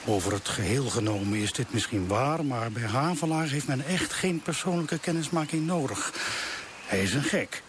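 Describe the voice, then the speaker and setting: A man's voice: medium pitch, expressive, moderate pace, close to an everyday voice. An actor playing a blunt, scornful military man of thirty in a radio drama, with a forthright manner. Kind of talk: Dutch radio drama acting. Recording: noisy old radio play recording.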